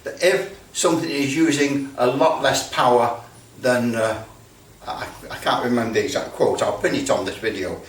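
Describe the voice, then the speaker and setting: British male, casual demonstrator, halting thinking-aloud delivery, echoey room, faint background noise. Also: mid-range voice, steady tone. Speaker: presenter demonstrating electrical testing. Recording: roomy, distant mic with background noise.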